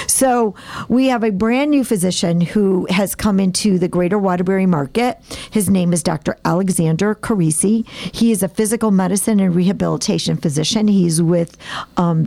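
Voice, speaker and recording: Hoarse, raspy woman hosting a show. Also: medium pitch, dark tone. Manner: chatty, upbeat, laughs often. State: hoarse, losing her voice. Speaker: hospital show host. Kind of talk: casual talk show hosting.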